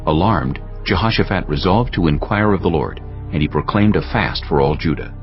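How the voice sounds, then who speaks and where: A man reading scripture as an audiobook narrator in a solemn, measured, scripted style. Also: sits low, lively intonation, dull audio, faint music behind.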